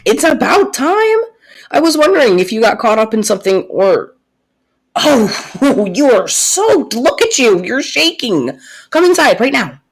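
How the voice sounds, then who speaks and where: A young woman playing a caring, protective best friend secretly in love, in soft, intimate roleplay audio, with a husky, breathy voice and a teasing, reassuring way of talking. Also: a little high, very animated, unhurried, full character voice, rough recording. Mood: excited, fussing. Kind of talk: soft-spoken roleplay audio acting addressed to listener.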